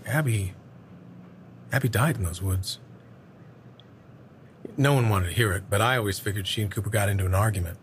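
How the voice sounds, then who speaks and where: An actor playing an earnest older small-town man in an audio drama, hesitantly recalling a dark local legend. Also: lowish voice, natural voice. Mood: grave, defensive. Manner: hesitant, halting storytelling. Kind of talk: naturalistic audio drama acting. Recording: clear background ambience noise.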